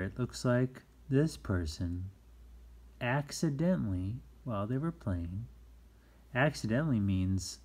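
Slow, low-voiced man reading to children. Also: dark tone. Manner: explains simply, as to young children. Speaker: children's book read-aloud host. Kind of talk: informal picture-book read-aloud with asides.

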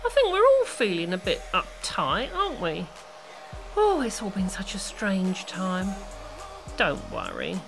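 A woman's voice: medium pitch, wildly swooping pitch, measured pace, over music, rough recording. An actress playing a kindly, chatty British hairdresser in an audio play, motherly and full of endearments. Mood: soothing sympathy. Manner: motherly, calls people "love".

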